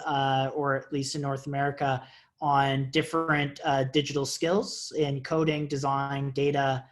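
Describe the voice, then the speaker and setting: A man in his thirties, answering community questions on a video call in an enthusiastic, explanatory way, with frequent uhs. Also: a little high. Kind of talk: casual video call interview. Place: indoor office with banner. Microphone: earphone headset on video call.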